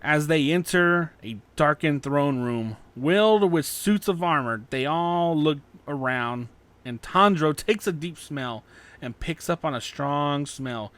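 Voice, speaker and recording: A man's voice: on the high side, animated, measured pace, a bit bright. A man narrating a scripted fan fiction story, occasionally stumbling over his words as he reads.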